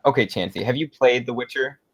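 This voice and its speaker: Easygoing young man, middle pitch. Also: a little fast, breathy edge. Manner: easygoing and laid-back, chuckling often. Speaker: podcast guest. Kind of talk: casual gaming podcast chat.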